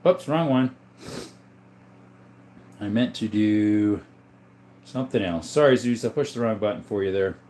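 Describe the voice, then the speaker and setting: A man hosting a casual livestream from a room full of guns, reading viewer comments aloud in a relaxed, joking way. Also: fairly low pitch, unhurried, long pauses, a little hiss or hum. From Arizona. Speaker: livestream host reading chat. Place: indoors, room with guns on display.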